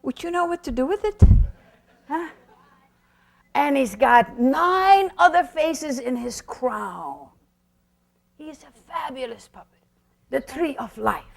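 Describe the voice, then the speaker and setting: Woman explaining shadow puppets like a storyteller, high creaky voice, emphatic, asks the audience questions. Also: animated, takes it slowly, pauses often. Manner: theatrical, emphatic, with rhetorical questions.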